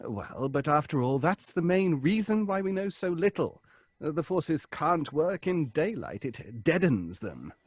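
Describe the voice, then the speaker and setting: High-voiced, donnish professor. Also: male voice, sing-song, unhurried, breathy edge, dry recording, full character voice. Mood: earnest, commanding. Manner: precise, donnish, hesitant with ums. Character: earnest, pedantic male professor leading a seance. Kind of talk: old-fashioned radio drama acting.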